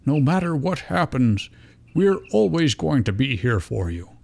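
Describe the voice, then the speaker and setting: A man voicing a calm, caring but stern frog ninja creature, scolding yet gentle, in a fan fiction reading. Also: mid-range voice, unhurried, creaky, dry recording, pitched above the performer's own voice, full character voice. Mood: gentle, reassuring.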